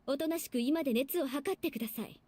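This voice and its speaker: Woman acting a high-voiced, scolding little sister. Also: racing, warm tone, dry recording, close to an everyday voice. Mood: stern. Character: strict, scolding younger sister in a proper household. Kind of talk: Japanese visual novel voice acting.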